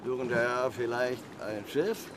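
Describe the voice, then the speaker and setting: A man's voice: mid-range voice, unhurried, close to an everyday voice. An actor playing a cautious, deferential male harbour boat pilot in a German audio drama, plain-spoken in manner. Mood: eager, proud. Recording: faint noise, watery harbour ambience. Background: water and harbour ambience.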